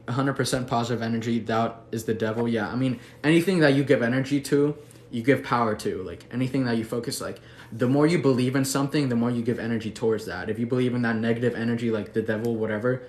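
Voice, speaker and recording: A young man from Mexico City chatting casually on a livestream, laid-back, slangy and affectionate as he answers viewers. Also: mid-range voice. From Mexico City, Mexico, later the US. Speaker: livestream host. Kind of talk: casual livestream chat reading viewer comments. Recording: echoey room, faint background noise.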